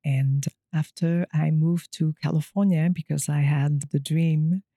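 Podcast guest, female, mellow and gravelly, close dry sound, hesitant storytelling. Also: deep voice, fairly even intonation, unhurried, dry recording. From Quebec, Canada. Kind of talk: personal storytelling interview.

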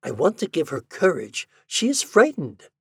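A man acts out an old doctor from a storybook, fretful and kind. He pleads earnestly in a raised, husky voice that swings widely in pitch. The recording is dry. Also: big pitch swings, normal speed, no room sound, full character voice. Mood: worried, pitying. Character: kindly, fretful, eager old male doctor. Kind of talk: audiobook character dialogue.

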